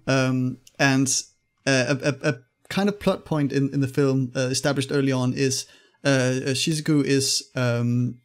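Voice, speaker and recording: A man hosting a casual film discussion, speaking haltingly and repeating words as he searches for the next one. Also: medium pitch, fairly even intonation.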